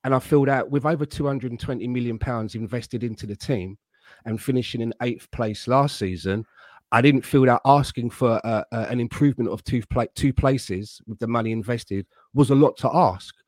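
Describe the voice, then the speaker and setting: A man on a football fan panel, speaking in a measured, careful way and restarting as he thinks. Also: mid-range voice, dry recording. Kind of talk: casual football fan chat.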